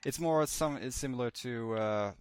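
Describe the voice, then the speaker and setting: A man talking casually as a podcast panelist, repeating himself for emphasis and haltingly correcting himself. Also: mid-range voice, clear, resonant tone, no room sound, clean studio sound. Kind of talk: casual discussion.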